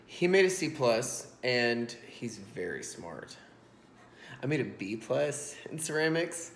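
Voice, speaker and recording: A man's voice: medium pitch, big pitch swings, slow pace, gravelly, slight background noise. Guy in his 30s talking thru a recipe casually, bit self-deprecating, echoey kitchen on a phone. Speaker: cooking vlog host. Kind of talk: casual chatty cooking commentary. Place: home kitchen, indoors. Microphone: iPhone propped on a stack of books. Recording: roomy, echoing kitchen sound.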